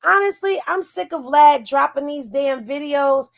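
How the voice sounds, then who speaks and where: A woman's voice: sits high, animated, a little slow, vocal fry. A woman hosting a livestream, chatting casually in with a drawling, folksy way of gossiping. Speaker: livestream host.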